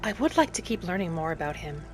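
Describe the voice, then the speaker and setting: A woman voicing a gentle, curious wood elf traveler, musing in first person as she narrates a cozy game roleplay. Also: fairly low pitch, sing-song, fast talker, slight background noise, pitched below the performer's own voice. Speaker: gamer narrating a roleplay as her character. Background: game music behind the voice.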